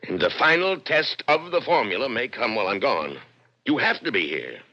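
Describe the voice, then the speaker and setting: Forceful, raspy, proud inventor. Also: male voice, mid-range voice, very animated, moderate pace, full character voice. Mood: assured, instructing. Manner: emphatic, lecturing, imperious. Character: proud, coldly rational inventor, a doctor and father. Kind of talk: 1960s radio drama acting. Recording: dull old radio broadcast recording.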